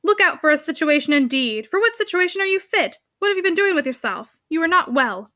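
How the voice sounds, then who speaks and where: A woman's voice: extremely high pitch, very animated, a little fast, bright, forceful tone, no echo, studio quality, pitched above the performer's own voice, heavily acted. A woman voicing a blustering, impatient middle-aged uncle from a storybook, brusque, scoffing and exclamatory. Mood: exasperated. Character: blustering, impatient fifty-five-year-old uncle, gruffly dismissive. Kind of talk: storybook character dialogue.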